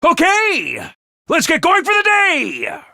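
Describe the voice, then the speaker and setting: Very high, bouncy game announcer voice, bright and bubbly, unhinged cheer, dry studio sound. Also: male voice, wildly swooping pitch, slow pace, gravelly, no room sound, exaggerated voice. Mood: cheery. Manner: bubbly announcements, bursts into gleeful shouting. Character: chipper, unhinged male academy announcer, playful and manic. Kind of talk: video game voice lines.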